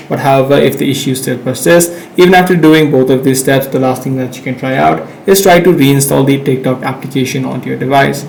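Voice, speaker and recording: A man's voice: medium pitch, creaky, darkish tone. A man narrating a step-by-step how-to tutorial in an instructional manner, as a presenter. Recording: roomy echo, faint background noise.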